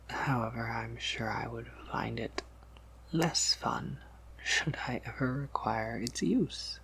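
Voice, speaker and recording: A man plays a vampire apothecary in an ASMR roleplay, whispering with a creaky, breathy edge. He takes his time, patient and gently reassuring, with wry little musings and soft hums. A faint noise sits behind the voice. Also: medium pitch, low-quality recording.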